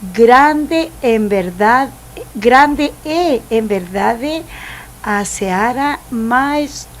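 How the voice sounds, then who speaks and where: An older woman in her seventies speaks with a Chilean Spanish accent, giving a missionary's testimony in a fairly high voice. She talks slowly and haltingly, pausing on "eh" as she searches for words. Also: expressive, slight background noise. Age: 70s. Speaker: missionary guest. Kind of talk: preaching testimony.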